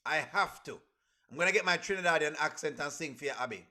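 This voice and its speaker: A man's voice: fairly high pitch, quick pace, very noisy. A man with a Caribbean accent hosting a casual reaction livestream, talking to viewers and repeating his phrases for emphasis. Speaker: livestream host.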